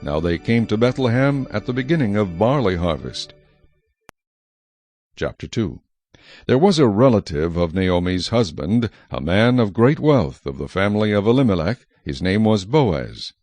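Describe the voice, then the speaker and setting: Low-voiced man narrating scripture. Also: very animated, normal speed, darkish tone, dry recording.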